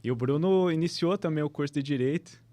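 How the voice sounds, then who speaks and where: A man's voice: a little high, brisk, warm tone, no room sound. A man, interviewing conversationally as a podcast host.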